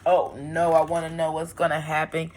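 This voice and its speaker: A woman reading a storybook aloud to young children as a teacher, pausing to explain things in simple phrases. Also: low-pitched, completely flat delivery, background noise. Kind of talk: expressive storybook read-aloud with asides.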